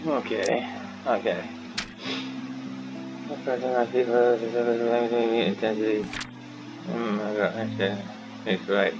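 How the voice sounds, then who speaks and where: A man's voice: medium pitch, little pitch movement, slow pace, pauses often, muffled recording. A man giving casual playthrough commentary as a gaming host, muttering to himself and reacting aloud. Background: game music.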